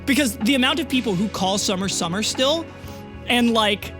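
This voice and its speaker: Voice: mid-range voice, lively intonation, normal speed. A game master narrating a tabletop roleplay story in a conversational way, often saying "like". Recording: music under the voice. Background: background music.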